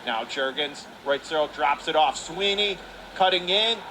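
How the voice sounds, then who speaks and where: A man calling live hockey play-by-play on radio in clipped running phrases with excited calls. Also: a little high, a little slow. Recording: noisy live rink recording.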